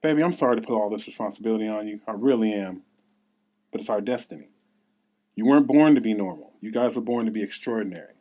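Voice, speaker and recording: A man's voice: on the low side, expressive, quick pace, dark tone. A man reading the part of a tender, burdened mother who earnestly urges her daughter to lead, at a table read. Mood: tender, urging.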